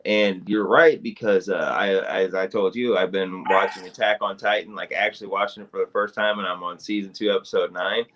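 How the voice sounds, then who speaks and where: A man's voice: low-pitched, steady tone, fairly quick, few pauses, dull audio, background noise. A man co-hosting a podcast, talking in a rambling, emphatic way with lots of swearing. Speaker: podcast co-host. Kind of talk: casual podcast chat.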